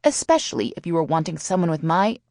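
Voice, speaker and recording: Woman playing a brash, creaky-voiced teenage girl. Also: low-pitched, sing-song, fast, a little mellow, no room sound, studio quality. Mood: sarcastic. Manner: wordy, teasing, mock-haughty. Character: brash, sarcastic, mischievous Southern teenage girl.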